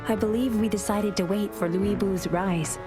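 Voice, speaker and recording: A woman's voice: medium pitch, fairly even intonation, normal speed, music in the background, close to an everyday voice. A woman playing a thoughtful, idealistic adviser and companion to a hero in a video game, speaking in a reflective, composed way. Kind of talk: dramatic game narration and dialogue.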